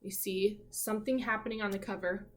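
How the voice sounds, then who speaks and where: A woman teacher leading an interactive read-aloud for young children, asking questions and reading with animated emphasis. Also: mid-range voice. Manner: asks questions and pauses as if hearing answers. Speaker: elementary school teacher.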